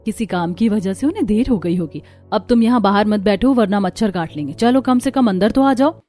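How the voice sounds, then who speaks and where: Woman as a gentle, mellow-voiced young mother. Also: medium pitch, expressive, fairly quick, lightly acted. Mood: reassuring. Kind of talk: cartoon story acting. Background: music.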